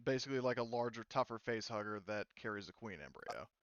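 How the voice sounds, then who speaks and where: A man's voice: on the low side, lively intonation, fast, smooth, no echo, lo-fi sound. Rambling man explaining game lore. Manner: rambling, filler-heavy explaining. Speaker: game master. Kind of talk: casual out-of-character explanation.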